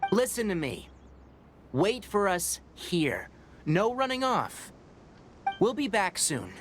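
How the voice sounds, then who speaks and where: An actor playing a calm, scholarly young forest ranger in a video game, measured and patient as he explains. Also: high-pitched, slight background noise, heavily acted. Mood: coaxing. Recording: game audio with clear ambience.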